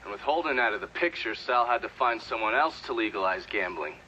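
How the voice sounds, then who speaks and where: A man's voice: on the low side, expressive, a little fast, bright, forceful tone. An actor playing a cynical American man testifying in a video game, frank, wry and blunt. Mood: matter-of-fact. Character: cynical, frank witness testifying about mob corruption. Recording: dull lo-fi audio, faint noise.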